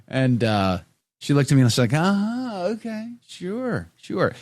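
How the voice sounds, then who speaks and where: A man's voice: fairly high pitch, huge pitch swings, unhurried, a little mellow, no echo. A middle-aged man hosting a podcast, chatting casually and joking while he reads viewer comments aloud. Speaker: podcast host. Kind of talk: casual chat.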